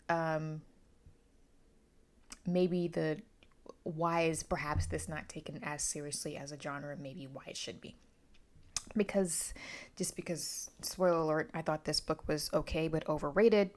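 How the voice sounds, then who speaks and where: Low-pitched woman giving a book review. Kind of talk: casual spoken book review.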